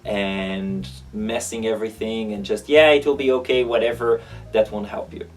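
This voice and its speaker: A man in his thirties with an Israeli accent, answering interview questions in a reflective, halting way, thinking aloud. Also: on the low side, steady tone, far from the mic, faint music behind. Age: 30s.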